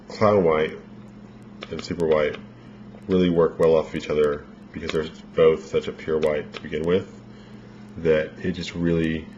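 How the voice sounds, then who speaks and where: A low-voiced man talks through a makeup tutorial in a loose, rambling way, his voice a bit worn as if he is losing it. The recording is dull and lo-fi, with a faint hiss behind him. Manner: relaxed, rambling instructions with fillers.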